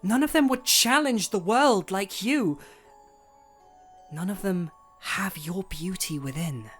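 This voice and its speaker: A woman voicing a young male pony artist in a storybook, earnest, gentle and devoted, with a measured, persuasive way of speaking. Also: medium pitch, soft background music, natural voice. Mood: earnest, tender.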